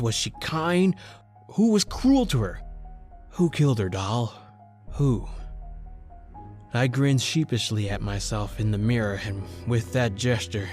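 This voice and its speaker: A haunted newspaper reporter, acted by a man, tells his story like an old radio thriller. His mid-range voice broods and weighs its pauses, with faint music underneath. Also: mid-range voice, a little mellow, close to an everyday voice. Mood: urgent. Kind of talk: old-time radio horror acting, dramatic narration.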